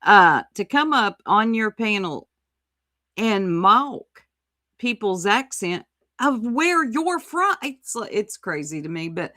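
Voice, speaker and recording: A woman's voice: medium pitch, big pitch swings, slow, pushed and bright, dry recording, studio quality. A woman hosting a recap, commenting on a clip in a teasing, mocking way. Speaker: commentary host.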